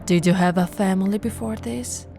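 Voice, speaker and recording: A woman's voice: mid-range voice, steady tone, gravelly, mellow, faint music behind, natural voice. A woman playing a possessive, aristocratic vampire in an ASMR roleplay, haughty and seductive, drawing out her words and dipping into whispers. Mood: predatory, commanding. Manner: haughty, sultry, drawn-out delivery with whispered phrases. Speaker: voice actor.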